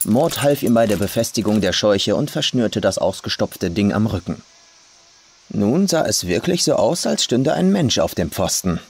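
A man's voice: lowish voice, sing-song, normal speed, gravelly, a little mellow, slightly rough. A man reading a scripted horror story as an audiobook narrator. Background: faint atmospheric ambience.

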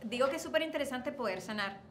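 A woman's voice: sits high, lively intonation, fast. A woman in her thirties with a Puerto Rican accent, interviewing in a chatty, informal way with some English mixed in. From Puerto Rico. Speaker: entertainment interviewer. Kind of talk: casual Spanglish interview. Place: seated indoor interview set. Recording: roomy echo, faint background noise.

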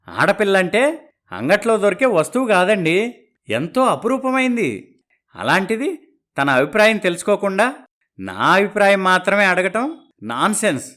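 A man playing a smooth-talking, boastful young groom who speechifies with English words thrown in, in a dramatized moral story. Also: high-pitched, bright, forceful tone, full character voice.

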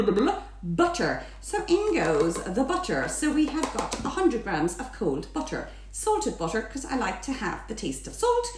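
A woman's voice: high voice, a little hiss or hum. A middle-aged Irish woman hosting a chatty home baking demonstration in a playful, theatrical, exuberant way, sometimes sing-song. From Ireland. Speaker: baking teacher and host. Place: home kitchen, indoors. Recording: echoey kitchen, mic at a distance.

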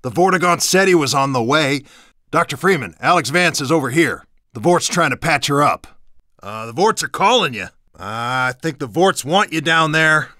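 A man's voice: a little high, lively intonation, normal speed, pushed and bright, no echo, clean studio sound. A man playing an edgy, sardonic resistance guard in a video game, talking in a colloquial way. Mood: matter-of-fact, wary. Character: edgy, sarcastic male resistance guard showing newcomer around. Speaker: video game minor character.

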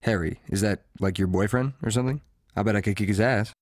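A man's voice: on the low side, fast talker, a little mellow, no echo, natural voice. Cocky, rambling young man, acted, close and dry. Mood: dim, cocky. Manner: casual, profane, rambling. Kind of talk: audio drama acting.

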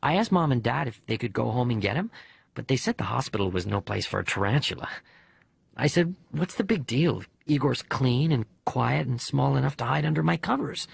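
A man voicing a bereaved young boy who narrates his diary letters in an expressive, conversational audiobook reading. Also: medium pitch, fairly quick, gravelly, husky, dry recording, exaggerated voice. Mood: chatty.

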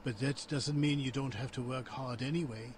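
A man's voice: medium pitch, fairly even intonation, moderate pace, a little hiss or hum, lightly acted. A man voicing a stern father who lectures his son firmly. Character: stern father, ice cream maker.

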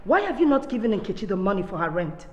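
A woman's voice: mid-range voice, very animated, quick pace, dull audio, a little hiss or hum, natural voice. A woman playing a commanding older sister, scolding her younger brother. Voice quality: forceful, scolding. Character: stern commanding older sister, family enforcer. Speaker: eldest sister. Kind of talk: film drama acting. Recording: echoey room with music bed.

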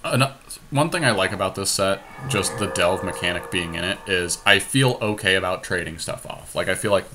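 Male gameplay podcaster, on the low side, casual running commentary, noticeable background noise. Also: brisk. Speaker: podcast host playing an online card game.

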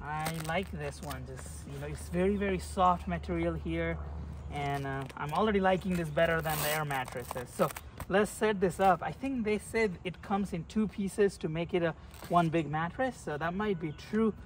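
Man in his thirties, higher voice, chatty hands-on product reviewer, outdoors, camera mic at a distance. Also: moderate pace, noisy background. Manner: chatty, hands-on demonstrating while talking. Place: outdoors beside a car, moving around the trunk.

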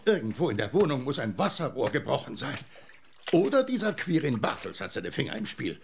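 Gruff, creaky old grandpa. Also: male voice, mid-range voice, sing-song, a little fast, slight background noise. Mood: worried, kindly. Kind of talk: children's audio drama acting.

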